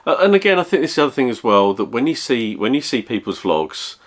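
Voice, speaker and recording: A man's voice: medium pitch, big pitch swings. A man, chatting casually as a travel vlogger, rambling with many restarts and fillers. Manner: measured, rambling, lots of fillers and self-corrections. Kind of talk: casual chat.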